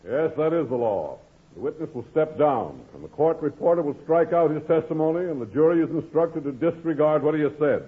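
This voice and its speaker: An actor playing a dry, stern judge with formal authority in a 1950s radio comedy. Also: male voice, a little high, animated, a little fast, narrow, tinny audio, natural voice. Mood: official. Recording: thin old radio recording.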